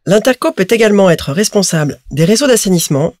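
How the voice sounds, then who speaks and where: Woman narrator, scripted explainer read, clear and measured, mid-range voice. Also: medium pitch, expressive, a little mellow, slight background noise.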